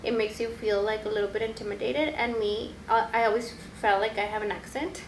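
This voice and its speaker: A woman's voice: mid-range voice, little pitch movement, a little fast. Reflective Spanish-native woman co-hosting chat. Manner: reflective, heavy use of like and you know. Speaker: podcast co-host. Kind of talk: casual podcast chat. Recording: echoey room with faint noise.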